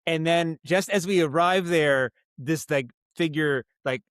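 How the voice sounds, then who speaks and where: A man speaking as a guest in a casual interview, telling stories in an animated, halting way with asides and vocal sound imitations. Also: fairly high pitch, smooth, no echo, clean studio sound. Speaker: guest, audio drama writer and director. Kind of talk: casual podcast interview, storytelling.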